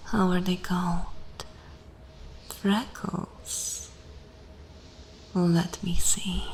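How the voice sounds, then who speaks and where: Woman playing a very slow, whispery vampire mistress. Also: fairly low pitch. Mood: fascinated, hungry. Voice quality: soft, breathy whispering. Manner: slow, commanding, savouring, often dropping into whispers. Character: dominant female vampire mistress, predatory, haughty, sensual. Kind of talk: ASMR roleplay, dark fantasy. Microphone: close microphone.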